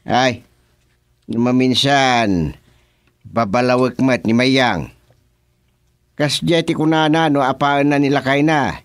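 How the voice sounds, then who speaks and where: Actor as a slow-talking Ilocano father. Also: mid-range voice, no echo, clean studio sound, close to an everyday voice. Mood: musing. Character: family father musing over a couple's quarrel. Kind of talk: Ilocano radio drama comedy acting.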